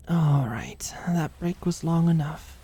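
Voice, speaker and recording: An actor playing a teasing, caring British office manager in an intimate ASMR roleplay, speaking softly and breathily with a dry manner. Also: mid-range voice, heavily acted. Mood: dry, softly relenting. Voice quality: soft, breathy, often near-whispered. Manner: dry, gently teasing, solicitous.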